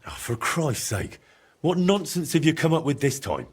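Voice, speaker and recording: An actor playing a practical, sceptical young male adventurer in a video game, down-to-earth, with a husky voice. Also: on the high side, sing-song, normal speed. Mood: incredulous. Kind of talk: video game character dialogue.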